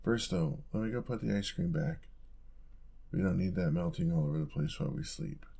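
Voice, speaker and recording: A young man playing an affectionate, playful roommate in an intimate audio roleplay, talking in a soft, casual, teasing way. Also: low voice, a little fast, creaky, breathy edge, exaggerated voice. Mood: gentle, caring.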